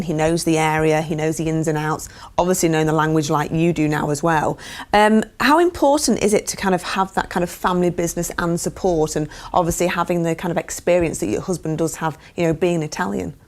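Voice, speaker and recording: Woman, interviewer, leading questions, soft backchannels, lower voice. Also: steady tone, fast.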